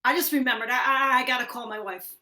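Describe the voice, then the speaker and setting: A woman playing a wisecracking, henpecked working man in a slangy, animated 1950s radio-drama style. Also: on the high side, fast. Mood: joking.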